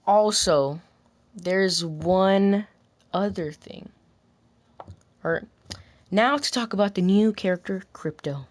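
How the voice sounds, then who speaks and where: A woman recording scripted commentary about a video game, deliberately delivering lines and often rephrasing them in retakes. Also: medium pitch, a little mellow. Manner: deliberate, restarts and rephrases whole sentences.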